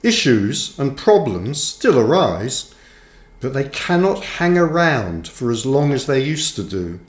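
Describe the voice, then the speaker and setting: A man narrates a book in a slow, measured way, placing each phrase deliberately. His voice sits at an average male pitch. The room around him adds a clear echo to the reading. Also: sing-song. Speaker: author reading own book aloud. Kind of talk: audiobook-style scripted narration. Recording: roomy, echoing sound.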